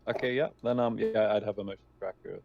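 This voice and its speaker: A man's voice: on the low side, steady tone, quick pace, vocal fry, darkish tone, a little hiss or hum. A man playing a tabletop roleplaying game, chatting casually in a hesitant, stammering way over a dull voice connection. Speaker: tabletop RPG player.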